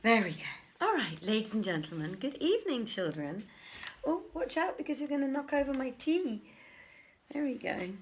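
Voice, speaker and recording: A woman's voice: high voice, big pitch swings, normal speed, slight background noise. A woman hosting a live storytelling stream from home, chatty and affectionate, with theatrical touches in her greetings. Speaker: host and storyteller, theatre director. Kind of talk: informal welcome before a live bedtime-style reading. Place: at home, live to camera on a tripod.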